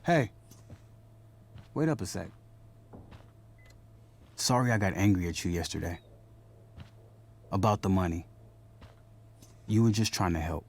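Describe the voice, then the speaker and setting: A man's voice: low voice, animated, measured pace, long pauses, heavily acted. A man playing a protective, guilt-ridden older brother, a young man, in a video game drama. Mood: remorseful, sincere.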